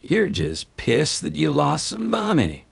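A man voicing a gruff, defiant human starship commander who talks bluntly and needles his captors. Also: a little high, wildly swooping pitch, slow pace, dry recording, pitched above the performer's own voice, exaggerated voice. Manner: blunt, needling, tough-talking. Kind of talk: audiobook character voice.

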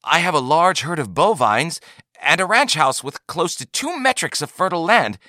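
A man's voice: fairly high pitch, big pitch swings, moderate pace, bright, forceful tone, dry recording, studio quality, voice pushed up. A man voicing an easily tempted alien rancher in a science fiction story, nervous and barely hiding his eagerness. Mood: hopeful offer.

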